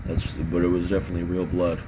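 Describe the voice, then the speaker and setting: A man's voice: low voice, monotone, fast. A man hosting a casual livestream chat, joking around and rambling with lots of ums and restarts. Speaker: livestream host reading chat. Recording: clear background hum.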